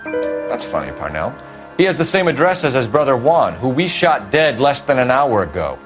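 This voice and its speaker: A methodical, stern detective from the 1940s pressing a suspect in clipped lines, played by an actor. His pitch moves a lot as he pushes. A faint music score sits behind him. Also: big pitch swings, normal speed, natural voice. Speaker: police detective.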